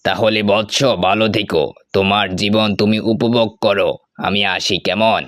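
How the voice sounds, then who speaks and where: A man's voice: on the low side, level tone, moderate pace, fairly bright tone, dry recording, studio quality, close to an everyday voice. A man voicing a sly, grandly avuncular hermit who is secretly a king, in a cartoon story. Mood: coaxing, avuncular. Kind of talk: animated story character acting.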